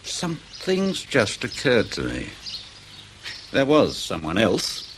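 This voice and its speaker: An actor playing a prickly, defensive field agent in a radio drama, speaking in a clipped, emphatic way. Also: male voice, medium pitch, huge pitch swings, slow pace, a little hiss or hum. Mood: thoughtful. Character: defensive, prickly British male field agent under questioning. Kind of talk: naturalistic radio drama acting.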